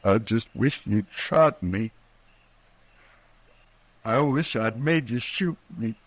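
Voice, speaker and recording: Slow-drawling, desperate Western gunman. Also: medium pitch, lively intonation, no room sound. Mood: broken, despairing. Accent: American. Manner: rustic drawl, halting, repetitive. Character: desperate, distraught Western outlaw gunman in love. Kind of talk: 1950s American radio western acting.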